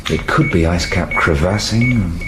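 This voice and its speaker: Wry, low-voiced male scientist, noisy recording. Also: a little slow. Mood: thoughtful. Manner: dry, wry. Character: wry divorced male scientist at Antarctic base, stubborn. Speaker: radio drama actor. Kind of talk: 1980s radio thriller acting. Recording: noisy old radio broadcast recording.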